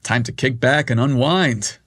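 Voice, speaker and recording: A man voicing a calm, reserved adult man who speaks in a relaxed, low-key way. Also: measured pace, dry recording, studio quality.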